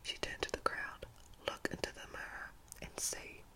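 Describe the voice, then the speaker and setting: A young woman whispering breathily and close to the mic for ASMR, hushed and informal as she tells stories and talks to viewers. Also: moderate pace. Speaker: ASMR storyteller and channel host. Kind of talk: whispered ASMR, reading a storybook and chatting to viewers. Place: at home indoors. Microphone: close-up microphone.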